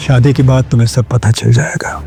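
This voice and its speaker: A man acting a wealthy, stern, stubborn elderly grandfather in an audio drama, speaking in a commanding, deliberate way. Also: medium pitch, steady tone, creaky, close to an everyday voice. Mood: grave, earnest. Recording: loud background music under the voice.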